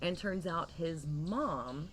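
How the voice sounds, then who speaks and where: A woman's voice: low voice, slow pace. Woman co-host chatting, outdoor background noise. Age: 30s or 40s. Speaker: talk show co-host. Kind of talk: casual chat and banter. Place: screened porch with open greenery outside. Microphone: shared tabletop condenser microphone. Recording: clear outdoor ambient noise.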